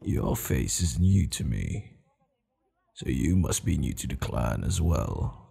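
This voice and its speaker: A man playing a proud, teasing, commanding barbarian warchief in an ASMR roleplay, gravelly and measured, with formal phrasing. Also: extremely low pitch, very animated, mellow, soft background music, heavily acted. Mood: teasing, stern. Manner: slow, measured, stern yet amused, formal phrasing.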